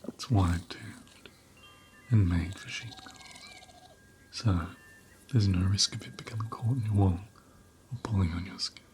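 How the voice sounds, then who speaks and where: A man playing a gentle, doting ram caretaker in an ASMR roleplay, speaking softly and tenderly and often slipping into a whisper. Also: low-pitched, a little slow, pauses often, background noise. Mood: gentle, reassuring. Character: gentle, doting male ram caretaker tending an injured lamb. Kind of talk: ASMR roleplay, soft half-whispered close speech.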